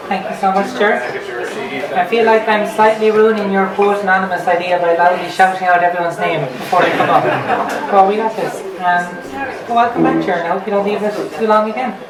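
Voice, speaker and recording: A woman hosts a live open-mic night with a chatty, encouraging manner. Her voice is mid-pitched, heard at a distance in an echoing room with a very noisy crowd. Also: fairly even intonation, fairly quick. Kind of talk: informal live event hosting.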